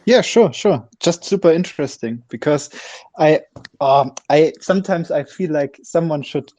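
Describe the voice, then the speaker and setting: A man's voice: a little high, moderate pace, darkish tone, no echo. A man in an online audio chat asking curious questions, heard on dull call audio.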